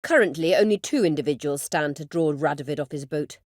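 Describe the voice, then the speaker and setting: An actress playing an imperious, scheming middle-aged sorceress in a video game, speaking formally and with measured, biting sarcasm. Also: female voice, deep voice, a little mellow, no echo, clean studio sound. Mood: sardonic, haughty. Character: imperious, scheming sorceress, proud, sardonic and vengeful. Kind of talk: video game character dialogue.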